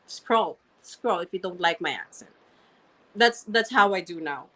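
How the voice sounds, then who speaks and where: This woman speaks very slowly and deliberately, with a strong non-native accent in her English. As an interview guest she tells her story earnestly, looping back over her words and restarting her phrases. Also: lowish voice, lively intonation, a bit bright. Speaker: interview guest, registered nurse and online marketer. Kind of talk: candid personal story in conversation.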